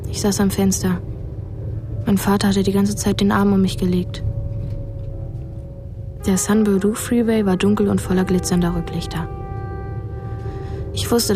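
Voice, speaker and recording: Detached child narrator, a boy voiced by a woman, mid-pitched and even, plain-spoken literary radio play. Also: normal speed, pauses often, over music, lightly acted. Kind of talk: literary first-person radio drama narration.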